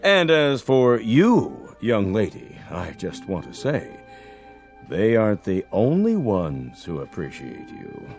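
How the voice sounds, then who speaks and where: Radio drama: older executive, self-important, declamatory, extremely animated intonation. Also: male voice, on the low side, soft background music, exaggerated voice. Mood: magnanimous. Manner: formal, declamatory, drawn-out. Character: pompous, theatrical older male office boss.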